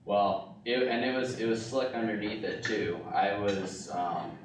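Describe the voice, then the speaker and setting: A man chatting casually in a halting, hesitant way as he helps set up a meeting. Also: fairly low pitch. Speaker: county board meeting participant setting up the video call. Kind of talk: casual chat before a meeting. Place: meeting room. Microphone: distant room microphone. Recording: roomy, echoey, noisy distant room microphone.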